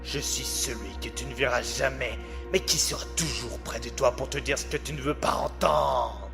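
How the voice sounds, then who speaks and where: A man playing a menacing, mocking voice that haunts a killer's mind in an audio drama, with drawn-out theatrical delivery. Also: medium pitch, creaky, music in the background, natural voice. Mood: menacing, taunting. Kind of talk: amateur audio drama acting.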